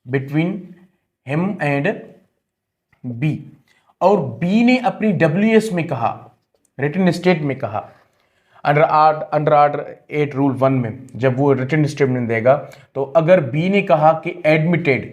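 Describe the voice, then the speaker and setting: A man teaching a law exam-prep lesson, explaining step by step and repeating key terms, slowing into careful English when he reads legal text aloud. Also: fairly high pitch, a little mellow. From Pakistan. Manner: switches into slow, deliberate English when reading legal text. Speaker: law lecturer.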